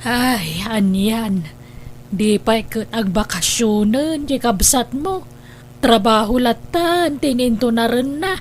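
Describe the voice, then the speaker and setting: A woman's voice: fairly high pitch, takes it slowly, slight background noise. A woman playing a worried, scolding elderly grandmother in a radio drama, with a Filipino accent and a nagging manner. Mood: concerned. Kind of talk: Ilocano radio drama acting.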